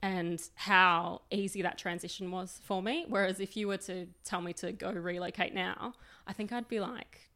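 A woman's voice: mid-range voice, steady tone, normal speed, hardly any pauses, sharp tone. A woman in her thirties from New South Wales with an Australian accent, chatting openly as an interview guest. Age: 30s. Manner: open, chatty storyteller. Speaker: guest, recipe developer. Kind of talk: casual interview.

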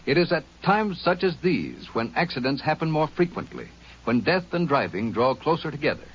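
A man's voice: mid-range voice, breathy edge, highs cut off. A man reading an earnest public service announcement in an old radio broadcast. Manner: earnest, lively delivery.

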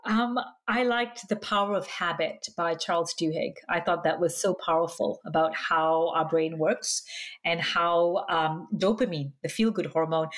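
Middle-aged woman from malaysia, psychologist on a podcast, calm and explains things, lowish voice. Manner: measured, explanatory. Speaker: psychologist guest. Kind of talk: podcast interview.

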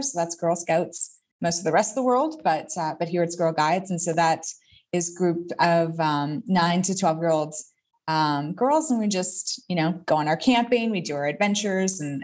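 Cheerful Canadian woman, lowish voice, casual, video call. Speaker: guest, trade compliance manager.

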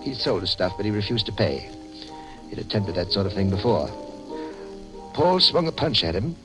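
An actor playing a bitter, genteel ex-convict swindler in 1950s radio crime drama, speaking in precise wordy phrases mixed with underworld slang. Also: male voice, on the low side, big pitch swings, normal speed, rough recording. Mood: confiding, bitter. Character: shifty genteel male ex-convict book swindler, bitter, secretive. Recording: old 1950s radio recording, music behind.

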